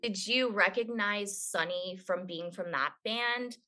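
Bright, effusive woman hosting a casual podcast. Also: mid-range voice, slow pace. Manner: effusive, informal, swearing. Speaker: podcast host. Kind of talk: casual chat interview.

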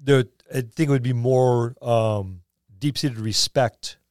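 A man's voice: medium pitch, fairly even intonation, takes it slowly, darkish tone, no room sound, clean studio sound. A man co-hosting a gaming podcast as a game master, talking in a casual, conversational way.

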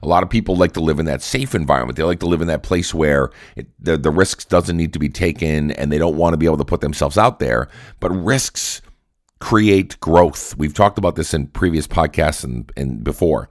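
Very deep raspy man with a cold. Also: fairly quick, no echo, clean studio sound. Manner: earnest, repetitive, coaching tone. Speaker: podcast host. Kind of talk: motivational solo podcast talk.

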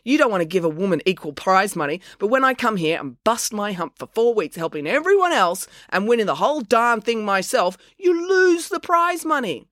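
Narrator doing a blunt fiery storybook woman, pitched way up, accusing, sarcastic, swoopy. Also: sing-song, normal speed, hardly any pauses, fairly bright tone, no room sound, studio quality. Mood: outraged.

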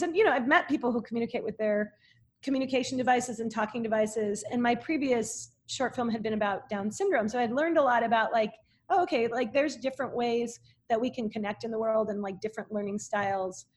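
A woman film director telling stories casually in an interview, in an animated, chatty way. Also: on the high side, fairly quick.

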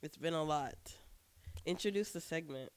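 A woman's voice: low-pitched, normal speed, clear, resonant tone, no room sound. A woman co-hosting a podcast in casual chat at her co-host's home, sounding stuffy from a cold and talking candidly with many restarts. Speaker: podcast co-host.

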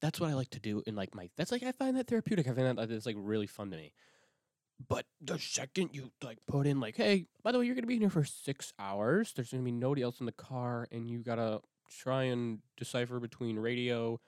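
A man's voice: mid-range voice, big pitch swings, a little fast, a little mellow, no echo, studio quality. A young man hosting his own podcast, talking alone in a rambling, swear-heavy way with lots of restarts, sniffling from a cold. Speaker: podcast host. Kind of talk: casual solo podcast monologue.

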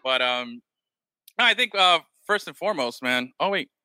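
A man's voice: fairly high pitch, animated, normal speed, sharp tone, clear, resonant tone, dry recording, clean studio sound. A man hosting a casual podcast, talking loosely and peppering his talk with "man" and "bro". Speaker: podcast host. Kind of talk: casual chat.

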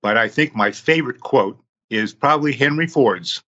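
A man in his sixties, a podcast guest who tells his story slowly, in numbered steps. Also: medium pitch, pushed and bright, lo-fi sound.

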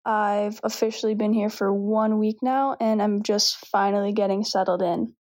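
A woman's voice: mid-range voice, steady tone, moderate pace, darkish tone. A Canadian woman in her twenties, a professional volleyball player, giving an upbeat, self-deprecating update. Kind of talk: scripted update to camera.

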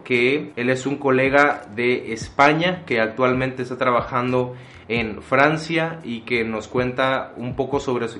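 A man's voice: medium pitch, a bit bright, a little hiss or hum. Mexican man hosting a podcast. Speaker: podcast host. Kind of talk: podcast intro and interviewing. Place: at home, remote call.

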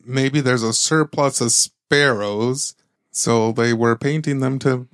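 A man co-hosting a comedy podcast, chatting in a relaxed, wry way and laughing along. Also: medium pitch, slow pace, clean tone, dry recording, clean studio sound. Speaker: podcast co-host. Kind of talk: casual comedic chat.

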